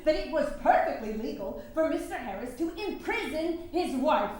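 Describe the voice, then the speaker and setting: A woman's voice: high voice, very animated, moderate pace, a little mellow. A woman acting a defiant, indignant nineteenth-century suffrage campaigner in a theatrical staged reading, challenging and emphatic in her delivery. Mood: righteous anger. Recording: very echoey hall, faint background noise.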